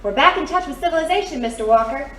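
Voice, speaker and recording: A woman's voice: sits high, lively intonation, quick pace. A woman playing a perky, doting girlfriend in an audio drama, chirpy, coaxing and playful. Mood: cheerful, coaxing. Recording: roomy, distant, faint noise.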